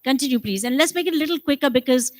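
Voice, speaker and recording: A woman with an Indian accent moderating a conference roundtable, summing up briskly and mixing Hindi with English. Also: sits high, expressive, dry recording. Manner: brisk, rapid-fire, switches between Hindi and English.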